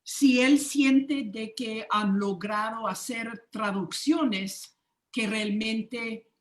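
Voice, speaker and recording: A woman's voice: on the high side, very slow, echoey room. A woman on a discussion panel, speaking hesitantly with frequent fillers.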